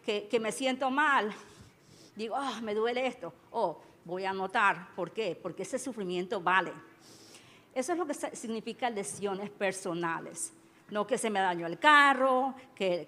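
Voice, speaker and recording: A woman from Ecuador, a judge, giving a conference talk in a halting manner with many restarts. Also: mid-range voice, pushed and bright. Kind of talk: conference speech, switching between English and Spanish. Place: large conference hall. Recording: reverberant conference hall.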